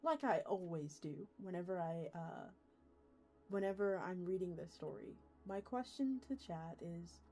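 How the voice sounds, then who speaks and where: A woman's voice: fairly low pitch, unhurried, soft background music. A woman streamer talking casually to her live audience, informal and openly reactive as she chats and reads aloud. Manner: informal, animated reactions, addresses viewers. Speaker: streamer hosting a live read-along. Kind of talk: casual livestream chat, reacting and reading aloud.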